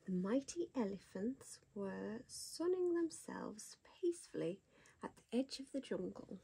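A woman reading a bedtime picture book to a child in a soft whisper, gasping and asking the child questions. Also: mid-range voice, very animated, unhurried, creaky, a little hiss or hum. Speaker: bedtime story reader.

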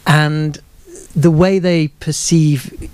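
A man's voice: a little high, steady tone, drawn-out words, darkish tone, smooth, no echo. A man in his thirties conducting a literary interview, asking long, thoughtful questions with hesitant phrasing. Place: small crowded bookshop, live event. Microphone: handheld microphone.

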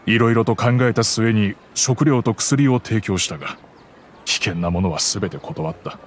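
An actor playing a gentle, regretful middle-aged merchant father who calmly recounts the past in a game cutscene. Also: on the low side, normal speed, slight background noise, natural voice. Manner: calm, reflective storytelling.

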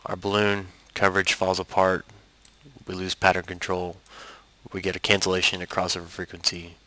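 A man narrating a software tutorial as an instructor, explaining things step by step. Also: low voice, completely flat delivery, normal speed, no room sound, low-quality recording.

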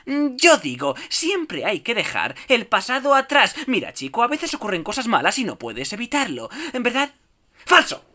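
A man's voice: very high-pitched, huge pitch swings, normal speed, bright, forceful tone, exaggerated voice. A man voicing a cocky, wisecracking little meerkat in a cartoon dub, with a Castilian Spanish accent. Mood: breezy, advising. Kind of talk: cartoon fandub audition.

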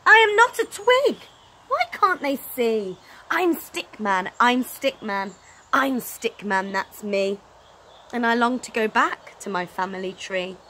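A woman acts out a little stick man from a children's storybook, protesting in a high, bright voice. He is indignant and pleading, and every line comes out emphatic. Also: big pitch swings, takes it slowly, full character voice.

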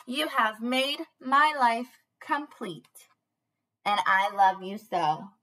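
A woman's voice: fairly high pitch, animated, takes it slowly, pushed and bright, low-quality recording. A young woman preschool teacher doing a read-aloud for small children in a bubbly, sing-song manner, recorded on a webcam in a small room. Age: young adult. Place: small panelled indoor room.

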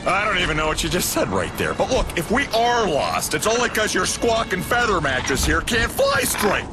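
Brash, boastful cartoon pilot hero, American, raised pitch, wildly animated, music behind. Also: male voice, normal speed, rough recording. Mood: breezy, defensive. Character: cocky, bumbling furry pilot, boastful hero.

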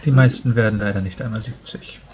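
A man roleplaying a calm, matter-of-fact human adventurer in a tabletop game. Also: on the low side, fast, slightly rough. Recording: faint background noise.

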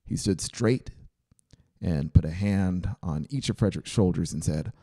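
Male audiobook narrator, low mellow voice, scripted storytelling, close studio mic. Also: a little slow. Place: small brick-walled home studio. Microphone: close dynamic mic on a boom arm.

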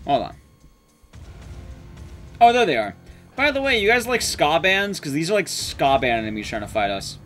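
A man in his thirties commentates live on a game in a fairly high voice that swings up and down a lot. He talks casually and jokingly to his viewers, with music playing behind him. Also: very animated, normal speed, long pauses, a bit bright. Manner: casual, joking, swears mildly. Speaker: streamer and speedrunner. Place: small indoor room at home, streaming.

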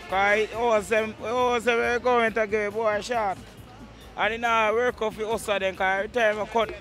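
A man with a Jamaican accent answers on a city street in a high voice. He stumbles and repeats words and tacks on little tag questions as he goes. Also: sharp tone. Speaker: street interviewee. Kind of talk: casual street chat.

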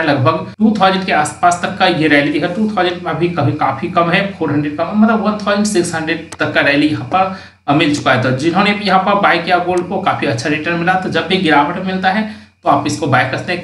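Distant, roomy voice of a chart analyst. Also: male voice, a little high, a bit bright. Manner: explanatory, points at the chart, reads out price levels. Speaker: stock market analyst. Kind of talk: screen-recorded chart walkthrough.

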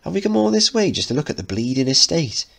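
A man's voice: a little high, big pitch swings, fast, creaky, pitched above the performer's own voice, full character voice. A man voicing a brash, teasing, impatient working-class teenage girl in a dramatic play reading, pert and slangy. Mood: teasing admiration.

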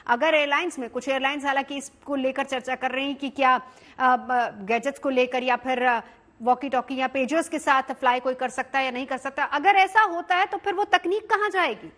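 A woman's voice: high voice, normal speed, fairly bright tone. A woman, anchoring a TV panel discussion from a studio and putting questions to guests. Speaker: news anchor.

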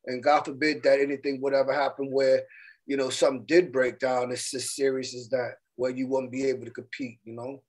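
A man's voice: mid-range voice, little pitch movement, a little fast. A middle-aged man co-hosting a podcast over a video call, laid-back and chatty, often saying "you know what I'm saying" and "man.". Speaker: podcast co-host. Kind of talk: casual interview chat. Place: indoor room with virtual backdrop. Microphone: webcam on a video call.

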